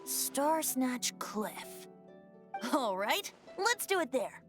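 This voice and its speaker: A woman's voice: high-pitched, big pitch swings, drawn-out words, over music, full character voice. A woman voicing a playful, theatrical youthful male bard in an anime video game, lilting and boastful.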